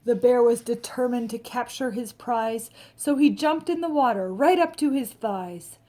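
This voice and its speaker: Higher-voiced woman reading a children's storybook. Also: a little mellow. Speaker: storybook reader. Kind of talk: expressive read-aloud of a rhyming children's picture book.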